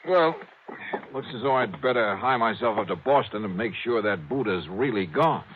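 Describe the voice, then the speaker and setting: A man plays a brisk, hard-boiled American investigator who also narrates a 1950s radio drama. His delivery is wry, punchy and highly varied in pitch. The old recording has a narrow, phone-like band. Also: lively intonation, slight background noise, close to an everyday voice. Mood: wry, businesslike. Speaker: lead actor and narrator. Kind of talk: 1950s radio acting and first-person narration.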